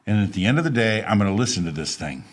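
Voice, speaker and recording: A man talking as a guest, telling personal stories in a casual, animated, self-deprecating way with laughs. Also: lowish voice, fast talker. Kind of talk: casual conversational storytelling.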